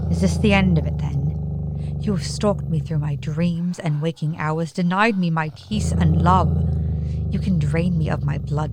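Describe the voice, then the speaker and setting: A woman playing a fanciful, haunted yet defiant young gentlewoman in a radio play, with a theatrical, genteel delivery. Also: low voice, creaky, very noisy.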